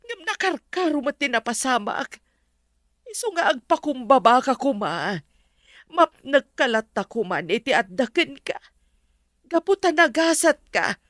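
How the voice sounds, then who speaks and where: A frank household confidante in a drama. She has a slightly high voice in a close, dry recording and speaks firmly, explaining with reproach. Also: sing-song, measured pace, fairly bright tone, dry recording, heavily acted. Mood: solemn, confessing. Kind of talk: drama dialogue.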